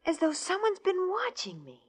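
An actress playing a sharp-tongued young woman assistant in a 1940s radio drama, answering back in a tart, sarcastic way. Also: very high voice, huge pitch swings, moderate pace, exaggerated voice.

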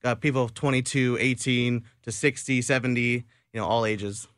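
A man's voice: mid-range voice, steady tone, normal speed, a bit bright, no echo, clean studio sound. A man in his twenties, a singer casually answering questions as a radio guest. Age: 20s.

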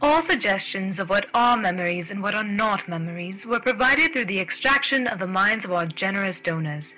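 A man's voice: high voice, expressive, brisk, bright, forceful tone, full character voice, rough recording. An actor playing an officious government spokesman reading a sinister public-service disclaimer in a radio drama. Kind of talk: scripted public-service advertisement. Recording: music under the voice.

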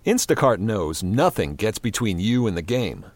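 Male ad read, very varied pitch, some background noise. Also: big pitch swings, moderate pace. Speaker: commercial announcer. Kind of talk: scripted ad read.

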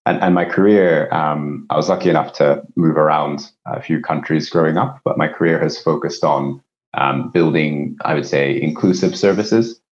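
A man's voice: very deep voice, fairly even intonation, moderate pace, highs cut off. A man from Japan speaking as an executive guest, explaining things conversationally in an interview. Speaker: company CEO, podcast guest.

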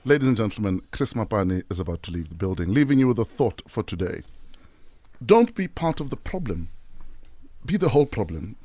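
A man hosting a morning radio show, making breezy announcements and asking quick questions. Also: on the low side. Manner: breezy, quick remarks, lively intonation. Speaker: radio host. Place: radio studio. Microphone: studio microphone.

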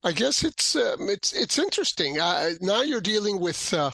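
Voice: mid-range voice, sing-song, normal speed, creaky, sharp tone, no room sound. Talk show cohost, fumbles for words a lot, very dry sound, no room at all. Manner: hesitant, searching for words. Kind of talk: radio talk show interview.

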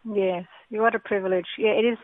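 A woman calling in to a radio show, chatting warmly with frequent laughs and restarts. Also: mid-range voice, steady tone, a little fast, no room sound. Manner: laughs often, enthusiastic, restarts words. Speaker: caller. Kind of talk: casual chat on a phone call. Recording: phone line.

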